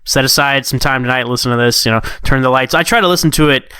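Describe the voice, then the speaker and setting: A man talks quickly in a bright, forward voice as a podcast guest. He is casual and encouraging, and the sound is crisp and dry. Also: medium pitch, no room sound. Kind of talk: casual conversation.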